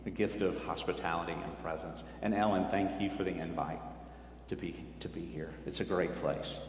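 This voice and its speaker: A man's voice: deep voice, steady tone, moderate pace, a little hiss or hum. A man, speaking to a church retreat group in a friendly, personal storytelling way. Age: 50s. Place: church hall. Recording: echoey hall, faint ambience.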